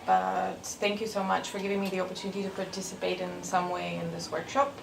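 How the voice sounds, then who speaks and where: Young woman from Bulgaria, creaky low rasp, formal talk full of ums, faint hiss under it. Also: completely flat delivery. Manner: frequent um fillers, measured and formal. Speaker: graduate student presenting research. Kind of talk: recorded research presentation.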